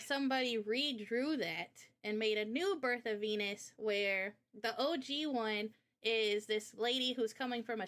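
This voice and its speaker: A woman's voice: fairly high pitch, a bit bright. A woman co-hosting a live Q&A in casual chat, with an informal, rambling way of telling stories. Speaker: co-host of a live Q&A.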